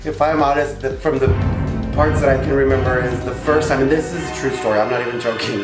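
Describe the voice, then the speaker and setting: A man from Tijuana whose first language is Spanish, co-hosting a casual Q&A in a playful, animated way. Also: medium pitch, quick pace, roomy sound, over loud music. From Tijuana, Mexico. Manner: animated, playful, laughs often. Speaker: co-host. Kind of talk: casual Q&A chat.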